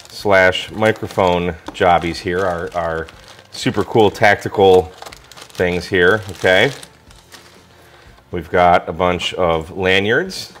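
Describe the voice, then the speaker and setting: Male American product reviewer, low-pitched, enthusiastic and colloquial, casual hands-on demo delivery. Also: a bit bright, a little hiss or hum.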